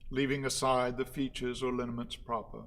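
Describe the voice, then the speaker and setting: A man acting a solemn, pedantic orator in a radio play, declaiming ornate lines in a measured, halting, rhetorical way. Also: medium pitch, level tone, a bit bright, close to an everyday voice. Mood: solemn, declamatory. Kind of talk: theatrical radio play monologue.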